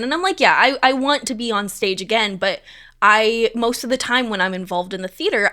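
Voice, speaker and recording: A woman's voice: medium pitch, pushed and bright. A woman in her thirties chatting enthusiastically and casually as an interview guest from community theatre.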